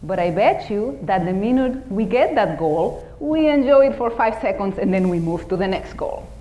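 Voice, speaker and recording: A woman's voice: medium pitch, animated, normal speed, dark tone, rough recording. A middle-aged woman from the Dominican Republic with a Dominican Spanish accent, giving a humorous, self-deprecating motivational talk as keynote speaker.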